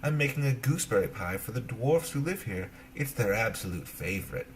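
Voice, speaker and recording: A man's voice: lowish voice, expressive, brisk, slight background noise, natural voice. A man voicing a kind, cheerful young princess in a storybook, polite and welcoming. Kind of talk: storybook character voice.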